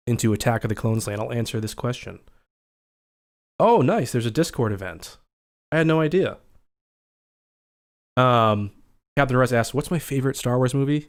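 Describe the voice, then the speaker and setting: A young man with a slightly scratchy voice hosts a relaxed livestream. He chats loosely with his viewers and reads their comments aloud as they come in. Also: medium pitch. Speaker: livestream host. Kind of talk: casual livestream chat.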